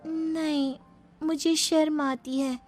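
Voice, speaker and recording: Young daughter-in-law, acted by a woman, very high girlish voice, coy and cheeky, cartoon moral tale. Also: little pitch movement, slow, a little mellow, soft background music. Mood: shy. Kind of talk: cartoon moral-story character acting.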